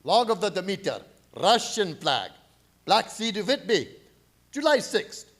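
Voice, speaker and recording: A man playing a grim, fearful foreign ship captain in a radio play, reading his log in clipped, halting broken English. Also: sits high, very animated, a bit bright, exaggerated voice. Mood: grim, terse. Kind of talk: dramatic radio play narration.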